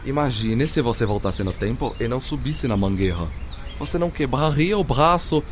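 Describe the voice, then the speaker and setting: A man plays an elderly physicist of a radio drama, formal, courteous and encouraging. His voice sits at a medium pitch, over faint noise. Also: lively intonation, normal speed, natural voice. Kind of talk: educational radio drama acting.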